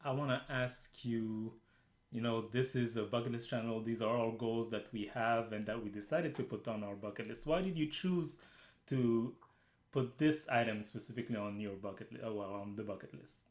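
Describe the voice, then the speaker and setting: Male host, casual interviewer, halting delivery, echoey room. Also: mid-range voice. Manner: halting, many uhs.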